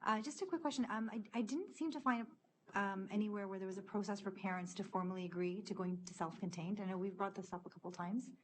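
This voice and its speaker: Fast-talking woman asking at a meeting. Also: medium pitch, background noise. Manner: quick, direct questioning. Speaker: committee member. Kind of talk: question at a meeting. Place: board meeting room.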